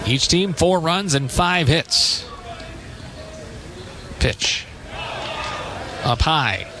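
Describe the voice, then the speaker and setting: Male baseball play-by-play announcer, fairly high voice, clipped radio commentary phrasing, clear outdoor background noise behind him. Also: expressive, pushed and bright. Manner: clipped short phrases between measured descriptions. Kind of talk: live radio sports commentary.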